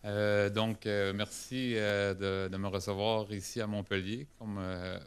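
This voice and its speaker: A man with a Québécois accent presents at a conference in a clear, smooth voice with little melodic movement. He is informal and hesitant, often restarting his phrases as he goes. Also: fairly low pitch, flat delivery, a little slow. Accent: Québécois French. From Quebec, Canada. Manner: hesitant, frequent restarts and self-corrections. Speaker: government forestry specialist presenting.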